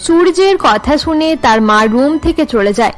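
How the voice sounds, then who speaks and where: Female narrator, scripted cartoon storytelling, high pitch, light music underneath. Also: animated, moderate pace.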